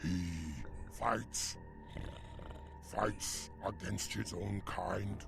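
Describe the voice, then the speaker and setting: A man voicing a bitter, distrustful gargoyle, a freed slave in a video game, with a growling, gravelly voice and archaic, menacing speech. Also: sits low, steady tone, very slow, exaggerated voice. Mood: brooding hatred. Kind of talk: dramatic video game voice acting. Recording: music playing behind. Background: music.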